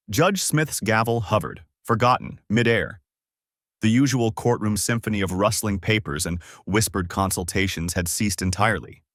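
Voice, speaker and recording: A man's voice: on the low side, a bit bright, no echo, studio quality. A man narrating a scripted story in a dramatic, solemn, suspenseful way.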